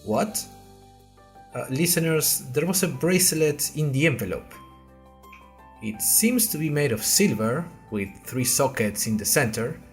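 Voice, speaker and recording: A man playing a bewildered, earnest young college newcomer, narrating an audio diary podcast in a wry, hesitant, conversational way straight to listeners. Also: medium pitch. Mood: curious, surprised. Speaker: narrator and podcast host character. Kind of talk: first-person audio fiction narration.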